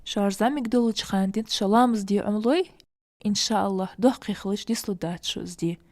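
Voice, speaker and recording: A woman voicing a gentle, patient mother in a dubbed cartoon, with an instructive manner. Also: mid-range voice, quick pace, natural voice.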